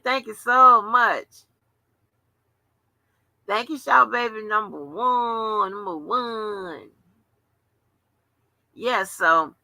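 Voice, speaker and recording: A woman's voice: low voice, sharp tone, no echo, poor audio. Chatty woman in her seventies. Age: 70s. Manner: chatty, rambling, salty slang. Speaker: livestream host. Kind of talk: casual late-night commentary.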